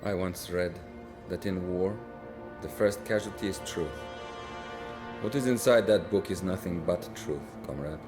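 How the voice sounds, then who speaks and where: An actor playing a weary, guilt-haunted Soviet lieutenant who narrates in a grave, reflective way, over a loud orchestral score. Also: male voice, low-pitched, measured pace, over music. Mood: somber. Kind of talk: video game cutscene voice acting.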